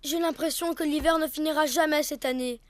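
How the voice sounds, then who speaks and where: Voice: high voice, fairly even intonation, a little fast, close to an everyday voice. An actor voicing an anxious, curious young turtle child in a cartoon, eager and full of questions. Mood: worried, questioning. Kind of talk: French-language cartoon dialogue.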